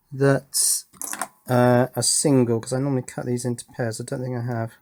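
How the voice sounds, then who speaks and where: A middle-aged man with a mid-pitched voice presents a hobby electronics build. He talks casually as he works, thinking aloud. His manner is chatty, with small questions tagged on to his own remarks. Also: a little mellow. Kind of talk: casual running commentary on a hands-on build.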